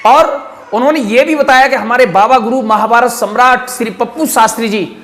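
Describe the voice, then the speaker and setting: A man's voice: very high voice, normal speed, fairly bright tone. Emphatic male channel host. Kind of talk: spoken commentary to channel viewers.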